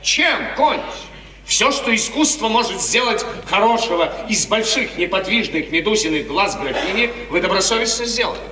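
An actor playing an imperious, moody, lovestruck prince in a declamatory 1950s theatrical radio drama style. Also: male voice, high-pitched, lively intonation, normal speed, sharp tone, slight background noise. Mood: sardonic, probing. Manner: declamatory, exclamatory, haughty. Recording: echoey hall, distant stage microphone.

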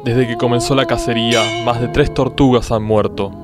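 An actor playing an earnest nature-documentary narrator and interviewer, deadpan and mock-solemn in a scripted parody. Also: male voice, mid-range voice, moderate pace, close to an everyday voice. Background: music.

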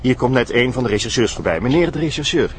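A man's voice: mid-range voice, animated, fast talker, natural voice. A man playing an eager, formal television reporter presenting on the scene in an audio drama.

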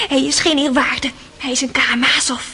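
A woman's voice: high-pitched, normal speed, pushed and bright, slight background noise. An actress playing a mischievous young woman in a radio drama, taunting and provocative, sometimes half whispering. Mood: teasing, urgent. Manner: mocking, provocative, dropping into whispers.

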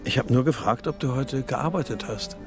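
An actor playing a brooding, grieving writer who narrates a radio drama in a subdued, introspective manner. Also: male voice, mid-range voice, normal speed, music in the background, lightly acted. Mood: guarded, hesitant. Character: brooding married male writer, grieving missing daughter. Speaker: narrator and lead character. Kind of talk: first-person radio drama narration and dialogue.

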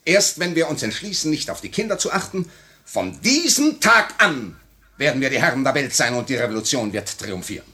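Fiery fanatical revolutionary, sweeping pitch. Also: male voice, very animated, brisk, sharp tone. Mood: stern, commanding. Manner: declamatory, emphatic, rhetorical. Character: bitter, uncompromising Russian revolutionary, ex-prisoner, fanatical. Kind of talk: 1950 radio play acting. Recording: vintage 1950 radio recording.

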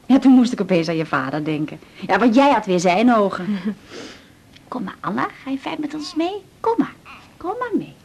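A woman's voice: mid-range voice, very animated, normal speed, gravelly, darkish tone, slightly rough, exaggerated voice. An actress playing a doting, inquisitive grandmother in a radio drama, cooing and chatty. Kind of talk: 1980s radio drama acting.

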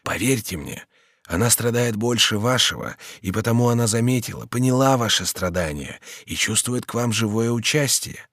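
A man reading aloud and voicing a calm, compassionate old servant in a measured, solemn way. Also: mid-range voice, no echo, studio quality, pitched above the performer's own voice. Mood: soothing. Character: older male servant, calm, grave and compassionate.

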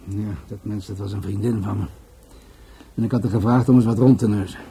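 A man acting in a radio play as a musing, world-weary police commissioner who speaks deliberately. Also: lowish voice, fairly even intonation, creaky, warm tone, a little hiss or hum. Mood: musing, resigned.

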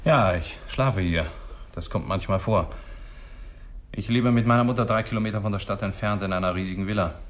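A fairly low male voice with a complaining edge. An actor plays an ailing small-town doctor, fussy and full of self-pity, in the style of an old German radio play. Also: a little fast, natural voice. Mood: polite, weary. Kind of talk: 1960s German radio crime drama acting.

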